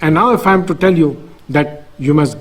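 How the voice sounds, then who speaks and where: High-pitched man, coaching emphatically. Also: normal speed. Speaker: shooting coach, host. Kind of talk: coaching talk and interview.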